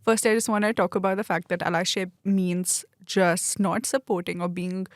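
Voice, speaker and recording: A young woman who grew up in Australia answers as a podcast guest in an earnest, articulate way. Her voice is somewhat low. The sound is dry and close. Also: steady tone, moderate pace, dry recording. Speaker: guest, university student. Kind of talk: interview answer.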